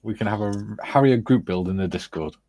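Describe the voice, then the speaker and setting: A man's voice: lowish voice, fairly quick, warm tone, no room sound. A man hosting a casual live model-building stream, joking and reading viewer comments aloud. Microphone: phone.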